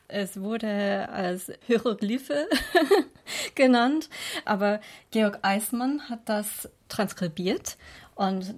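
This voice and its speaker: A middle-aged American professor with an American accent answers interview questions. Her first language is English, and she phrases things carefully and earnestly. Her voice sits at a natural mid pitch. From United States.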